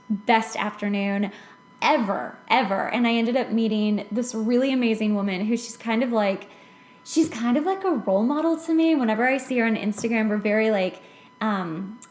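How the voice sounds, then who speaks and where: High-voiced woman chatting in an echoey room. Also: a little mellow. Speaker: podcast host. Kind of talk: casual chatty podcast conversation. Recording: roomy, echoing podcast recording.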